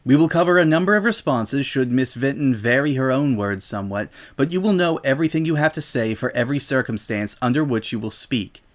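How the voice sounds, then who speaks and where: A man voicing a regal female pony princess, stern and commanding, with formal, deliberate speech in a dramatic story reading. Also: mid-range voice, animated, lightly acted. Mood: firm, instructing.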